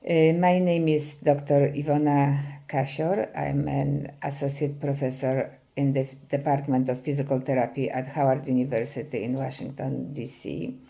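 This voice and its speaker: A middle-aged woman from Poland with a Polish accent, giving a conference presentation as a physical therapy professor, often pausing with "uh" fillers. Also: very deep voice, little pitch movement, gravelly, dark tone. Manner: frequent hesitations with many "uh" fillers.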